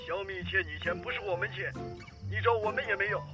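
A man's voice: high-pitched, quick pace, over music, lightly acted. A man playing a grasping, money-hungry middle-aged village father in a radio drama, blunt, pushy and nagging. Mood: suspicious, defensive. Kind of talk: modern Chinese radio drama.

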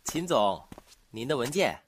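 A man's voice: mid-range voice, sing-song, measured pace, echoey room, a little hiss or hum. An actor playing a devoted, earnest young man in love in an amateur Chinese audio drama. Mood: gentle.

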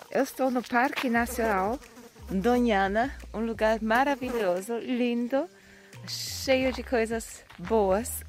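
A woman hosting a casual vlog, talking cheerfully outdoors while travelling. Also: on the high side. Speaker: host.

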